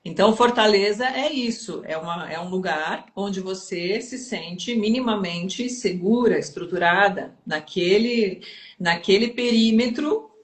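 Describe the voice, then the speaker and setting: Mulher gaúcha explicando com calma. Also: female voice, medium pitch, a little slow. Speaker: guest speaker. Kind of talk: explanatory conversation. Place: home kitchen livestream. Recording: roomy echo.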